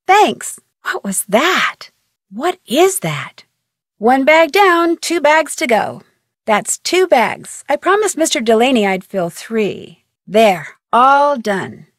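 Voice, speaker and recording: A woman's voice: high voice, fairly bright tone, dry recording, clean studio sound, exaggerated voice. A woman playing a plucky, polite young amateur detective in a video game, earnest and thinking aloud. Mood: calling out, insistent. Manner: earnest, crisply phrased, self-narrating.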